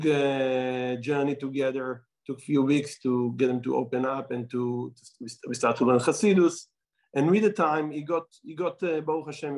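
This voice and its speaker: A man with an Israeli accent and Hebrew as his first language, a rabbi telling stories in a religious talk in halting, non-native English. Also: on the high side, poor audio. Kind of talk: storytelling religious talk at a gathering.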